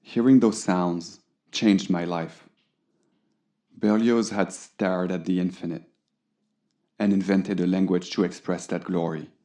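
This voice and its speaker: Audio drama auteur played by a man: idealistic, melancholy film director, very low and mellow, poetic, measured delivery. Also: steady tone. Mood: reverent, wistful. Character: earnest, romantic, melancholy male French film director.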